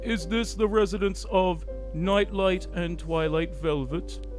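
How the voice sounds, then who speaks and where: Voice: medium pitch, fairly even intonation, unhurried, over music, natural voice. An actor voicing an angry, haughty male pony Lord Chamberlain, indignant and sarcastic, in a dramatic fan fiction reading. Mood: formal.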